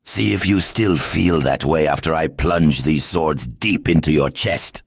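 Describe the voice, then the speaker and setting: A man's voice: a bass voice, measured pace, creaky, husky, no room sound, exaggerated voice. A man voicing a gloating, taunting swordsman villain in a video game. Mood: gloating menace. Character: gloating, menacing male sword-wielding villain.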